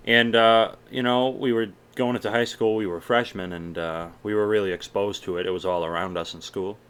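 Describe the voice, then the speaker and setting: A man's voice: lowish voice, steady tone, a little fast, fairly bright tone, smooth. Laid-back young man with a faint Boston lilt. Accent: mild Boston mixed with general American. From Massachusetts. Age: young adult. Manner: laid-back, swears often, chatty. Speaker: YouTuber answering viewer questions. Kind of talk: casual Q&A vlog.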